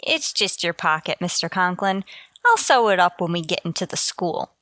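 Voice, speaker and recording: A woman playing a wry, lovestruck high school teacher in an old-time radio comedy, with a dry, sly manner. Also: big pitch swings, moderate pace, fairly bright tone, no room sound. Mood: polite, helpful. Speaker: lead actor and narrator. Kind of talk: old-time radio comedy acting, first-person narration.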